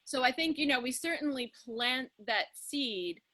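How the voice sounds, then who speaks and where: A woman actor casually talking through ideas, telling things in an animated way. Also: high voice, a little slow. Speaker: improv audio drama actor. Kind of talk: casual creative discussion.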